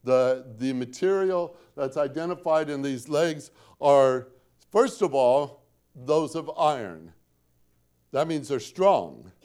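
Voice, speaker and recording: Guy from northwest indiana preaching, folksy and chatty, middle-pitched voice, repeats his main points for emphasis. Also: animated, takes it slowly, darkish tone. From Northwest Indiana, USA. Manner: conversational, folksy storytelling with dry self-deprecating asides; emphatic repetition of key points. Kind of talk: sermon.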